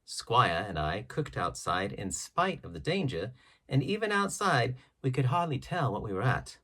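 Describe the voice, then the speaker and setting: A male audiobook narrator reads a classic adventure tale. His voice is husky and mid-pitched, with very animated intonation. The phrasing is measured and dramatic. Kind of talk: scripted storybook narration.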